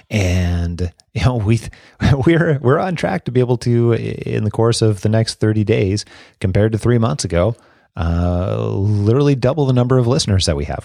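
A man in his thirties hosts a podcast in a low voice, talking casually and effusively with plenty of restarts. The recording is dry and close, with no room sound. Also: gravelly, darkish tone, no room sound. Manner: earnest, effusive thanks with many restarts. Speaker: podcast host.

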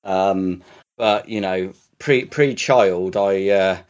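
A man chatting casually on a podcast, rambling with many restarts and swearing now and then as he gets excited. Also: deep voice, takes it slowly. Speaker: podcast co-host. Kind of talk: casual podcast chat about games.

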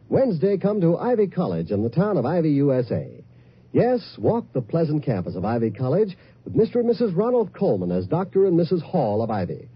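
A man's voice: on the high side, husky. A man announcing network radio promotions in a polished, emphatic 1950s style. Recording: slightly dull vintage radio broadcast.

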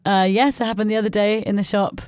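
Wry woman with a low voice. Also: steady tone, fast, darkish tone, no echo, clean studio sound. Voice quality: low, sometimes gravelly voice. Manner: wry, self-mocking, laughs often. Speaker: podcast co-host. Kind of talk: casual chat. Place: podcast room.

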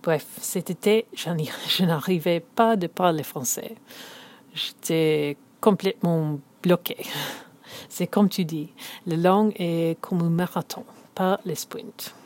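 A woman from South Africa, a native English speaker, reads a message she has prepared in advance. Her voice sits a little low for a woman. She speaks carefully, like a learner choosing each word. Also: slow, a little mellow. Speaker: listener sending a message.

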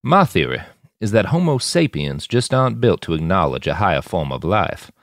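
A man's voice: low-pitched, very animated, moderate pace, dry recording, studio quality. A man voicing a cocky, commanding post-human mercenary boss in an audiobook, with a folksy, speechifying manner. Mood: musing, superior.

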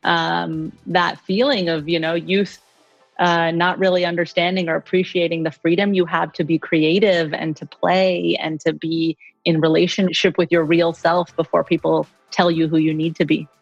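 A woman's voice: lowish voice, flat delivery, moderate pace, vocal fry, echoey room, highs cut off, soft background music. A middle-aged American woman from Colorado, giving articulate, reflective answers as an interview guest. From Colorado, United States. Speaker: interview guest, communications executive.

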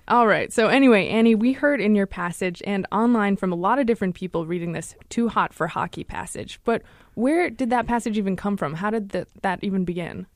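A woman from the Chicago suburbs hosts a podcast in a chatty, conversational way. Her voice sits at a middle pitch and is recorded close and dry, with no room sound. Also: no room sound. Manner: chatty, conversational, quick to laugh. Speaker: podcast host. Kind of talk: casual podcast chat.

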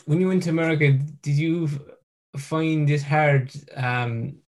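Brief: male interviewer, flat level delivery, hesitant and stumbling phrasing. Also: a little high, measured pace. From Ireland. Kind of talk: casual interview.

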